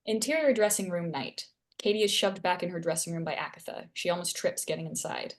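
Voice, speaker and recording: Slightly low-voiced woman narrating a screenplay. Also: fairly quick. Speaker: narrator reading stage directions. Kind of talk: scripted narration at a screenplay table read.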